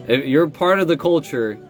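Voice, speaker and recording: A man in his thirties chatting casually on a phone live stream, reading fan comments and answering in a relaxed way, with plenty of fillers and laughs. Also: fairly high pitch. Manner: laid-back, lots of fillers and laughs. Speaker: musician streaming live with fans.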